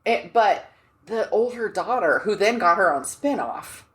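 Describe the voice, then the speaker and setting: An American woman co-hosting a podcast, chatting casually with expressive, laughing asides and restarts. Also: medium pitch, normal speed. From United States. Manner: expressive, gestures and laughs, trails off mid-thought. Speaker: podcast co-host. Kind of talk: casual chat.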